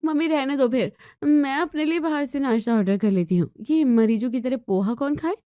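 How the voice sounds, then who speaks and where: A spoiled young woman from a moral tale, acted by a female storyteller, pitched high and dryly recorded. She is flippant and lazy, whining and brushing others off with a snooty air. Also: a little fast, mellow, dry recording, studio quality, close to an everyday voice. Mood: whiny, dismissive. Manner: flippant, dismissive, whiny.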